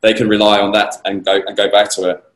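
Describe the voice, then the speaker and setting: A man with a slightly croaky voice answering questions as a guest speaker on a video call, measured and conversational. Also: fairly low pitch, flat delivery, fairly bright tone. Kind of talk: conversational conference Q&A. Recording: roomy echo on a video call.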